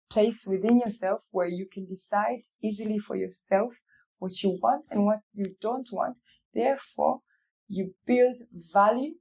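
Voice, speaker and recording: A woman from Africa gives heartfelt advice as an interview guest. She speaks emphatically at a middle pitch, circling back to key phrases and repeating them for weight. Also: dark tone. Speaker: interview guest, real estate company CEO. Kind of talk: motivational interview conversation.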